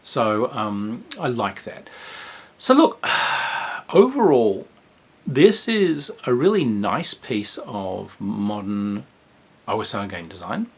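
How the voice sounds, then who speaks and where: A man's voice: fairly low pitch, sing-song, slow, husky. A man, a game designer giving a casual spoken review of a tabletop game, rambling with frequent ums and restarts.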